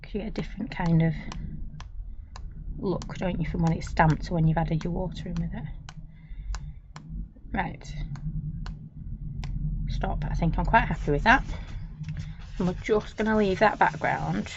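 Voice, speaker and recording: A woman with a slightly croaky voice, chattily talking viewers through a live craft demonstration. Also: on the low side, a little slow, long pauses. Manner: chatty, talks viewers through each step. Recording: dull lo-fi stream with noise.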